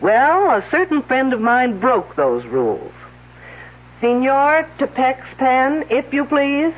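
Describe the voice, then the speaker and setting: A woman's voice: high-pitched, big pitch swings, slow pace, full character voice. An actress playing a confident, wisecracking female detective in a radio mystery, needling the suspects in a sardonic way. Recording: tinny old radio broadcast.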